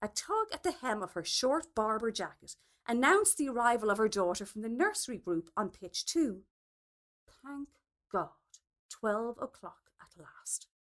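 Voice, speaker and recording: A woman reading a short story as scripted narration, expressive in her delivery. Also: on the high side. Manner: expressive, acts out dialogue.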